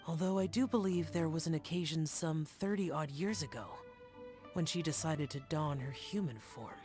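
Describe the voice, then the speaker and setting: A man's voice: on the high side, moderate pace, music in the background, lightly acted. A man playing a stern, aloof, youthful immortal guardian in a video game, with formal, reserved phrasing. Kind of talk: video game character voice acting.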